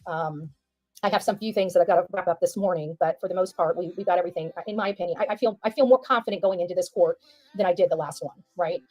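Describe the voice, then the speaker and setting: A woman's voice: on the low side, fast, darkish tone, no room sound, poor audio. A woman giving informal livestream commentary, emphatic and repeating phrases for stress.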